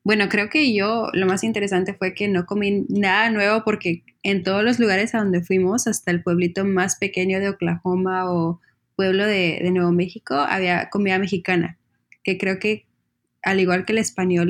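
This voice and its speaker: A woman from Texas, a journalist guest who chats casually in a calm, measured way. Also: medium pitch. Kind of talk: casual chat.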